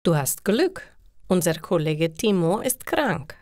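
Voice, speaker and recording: Woman reading as a friendly, slow-speaking delivery man. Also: fairly low pitch, very animated, darkish tone, dry recording, clean studio sound. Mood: helpful, instructive. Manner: encouraging, explaining patiently. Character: friendly, helpful young man, pizza delivery worker.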